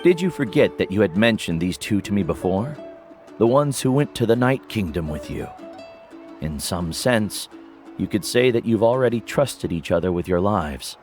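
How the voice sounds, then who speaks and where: An actor voicing a calm, protective older male mentor in a video game, who speaks slowly and gently. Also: on the low side, natural voice. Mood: fond. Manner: slow, measured and gentle. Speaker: teacher and guide. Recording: game audio with faint music. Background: soft game music.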